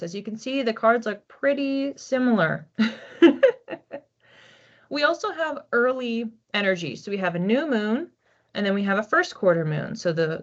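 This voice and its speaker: A woman reading oracle cards for a general audience in a relaxed, chatty way, thinking aloud as she goes. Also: medium pitch. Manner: chatty, thinking aloud with restarts and soft laughs. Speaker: psychic card reader. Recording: slightly dull lo-fi audio.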